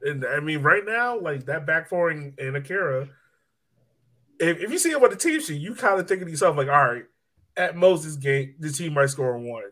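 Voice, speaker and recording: A man's voice: a little high, animated, moderate pace, bright, forceful tone. A man co-hosting a podcast, chatting casually about sport in an emphatic way, repeating himself for effect. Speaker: podcast co-host. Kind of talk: casual sports chat and analysis.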